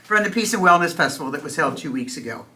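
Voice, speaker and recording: A woman's voice: low-pitched, lively intonation, quick pace, sharp tone, slight background noise. A woman hosting a church service at a stand microphone, chatty and self-deprecating, with loose fillers. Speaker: host. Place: church meeting room.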